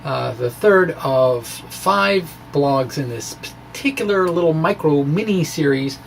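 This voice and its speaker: A man's voice: on the high side, animated, slow, vocal fry, slight background noise. A middle-aged man giving a playful, theatrical reading of a science blog, drifting into informal asides. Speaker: physics blogger reading his own blog. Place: small indoor room with bookshelves. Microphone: microphone on a stand in front of him.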